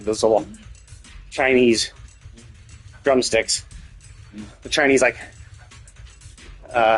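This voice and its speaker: A man with a husky voice giving casual, rambling gaming commentary as a live streamer, swearing freely. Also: medium pitch.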